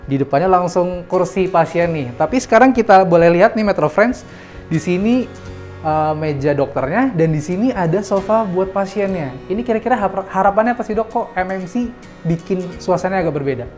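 A man's voice: high voice, expressive, a little fast. A young man guides viewers through a hospital clinic in a casual, chatty way, tagging his lines with friendly questions. He talks into a lapel mic indoors, with faint background music under his voice. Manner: chatty, upbeat, ends lines with tag questions. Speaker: host. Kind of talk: casual guided tour chat. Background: soft background music.

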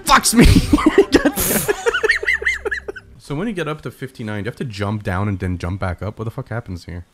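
A young man hosting a casual gaming stream from his home room on a studio mic, excitable and foul-mouthed, breaking into loud laughter. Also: on the low side, moderate pace, over loud music. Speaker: streamer host. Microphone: studio mic on arm.

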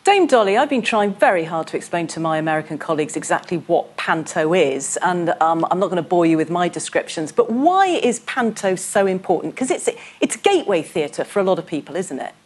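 A woman's voice: on the low side, animated, a little fast, few pauses, slightly rough. A woman in her fifties presenting and interviewing on a TV news programme. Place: TV news studio. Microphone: studio lapel.

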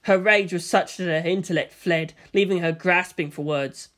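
A woman's voice: on the low side, normal speed, a bit bright. A woman reading scripted fiction as an audiobook narrator.

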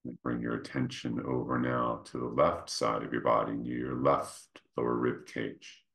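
A man leading a guided meditation, speaking gently and without hurry, in short phrases with pauses between them. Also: level tone. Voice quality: very deep, creaky. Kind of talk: guided meditation, slow soothing instruction.

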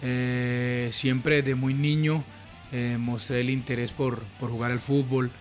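Earnest, flat-voiced Colombian man. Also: mid-range voice, slow, over music. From Urabá, Colombia. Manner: earnest, calm, many small restarts and fillers. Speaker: interviewed footballer. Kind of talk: reflective interview answers. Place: living room, on a sofa indoors. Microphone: handheld mic held at chest.

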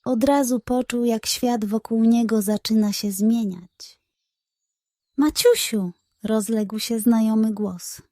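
A woman's voice: on the high side, flat delivery, unhurried, warm tone, no room sound, studio quality. A woman narrating a scripted bedtime story for children.

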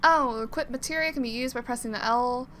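A woman's voice: a little high, a little fast, noisy background. A woman giving casual, reactive commentary on a video game, slangy and often puzzled. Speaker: gamer doing a blind let's play.

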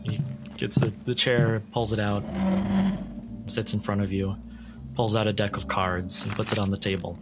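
A man's voice: on the low side, little pitch movement, moderate pace, long pauses. A man narrating a tabletop roleplaying game as its game master. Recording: music under the voice.

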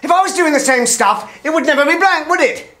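A man's voice: extremely high pitch, fairly quick, pushed and bright. A middle-aged man playing a flustered, indignant scriptwriter in a comedy soap, repeating himself defensively. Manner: indignant, repetitive.

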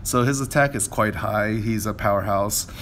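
A man's voice: lowish voice, moderate pace, fairly bright tone, slight background noise. A man giving casual, unscripted game walkthrough commentary in a conversational way, often hedging and correcting himself. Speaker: game video creator.

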